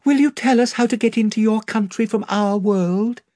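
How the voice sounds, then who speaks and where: A man voicing an earnest young girl who pleads in a high voice. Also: moderate pace, dark tone, dry recording, studio quality, close to an everyday voice. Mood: pleading.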